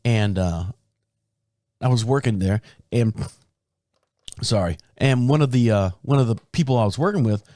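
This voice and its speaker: A man in a podcast studio, his voice a little raspy from a sore throat and sitting at a middle pitch. He rambles loosely and often breaks off his sentences. The sound is dry and close, with no room echo. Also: normal speed, long pauses, warm tone. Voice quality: deep. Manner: rambling, self-interrupting storyteller. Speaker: podcast host. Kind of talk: casual solo podcast talk. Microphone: studio microphone.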